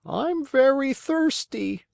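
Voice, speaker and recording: A woman voicing a large, kindly male pigeon, calm and helpful, with a gentle, measured storybook delivery. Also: high voice, creaky, dry recording, pitched above the performer's own voice, heavily acted.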